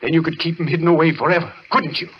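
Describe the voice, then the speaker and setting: An actor playing a deranged, embittered former surgeon held in an asylum, obsessive, repetitive and accusing, in an old radio thriller. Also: male voice, on the high side, fairly even intonation, racing, natural voice. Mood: accusing, agitated. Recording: muffled, noisy vintage radio recording.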